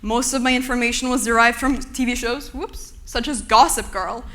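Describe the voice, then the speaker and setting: Young woman from Turkey, slightly high voice, earnest and measured public speaker. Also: fairly even intonation, fairly bright tone. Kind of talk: prepared public talk.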